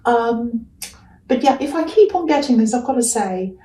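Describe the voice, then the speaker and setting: A woman's voice: fairly high pitch, expressive, moderate pace, dark tone. Casting: female tarot reader, casual and hesitant, reassuring, slightly crackly voice; roomy sound, set back from the microphone. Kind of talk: casual, improvised tarot reading. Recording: roomy echo, distant from mic.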